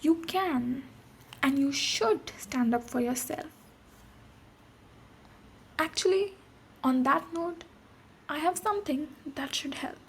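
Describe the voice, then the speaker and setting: A woman voicing a tough but protective ogre warrior in a soft-spoken, reassuring ASMR roleplay, with pauses. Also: high-pitched, a little hiss or hum. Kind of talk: ASMR roleplay, speaking to the listener.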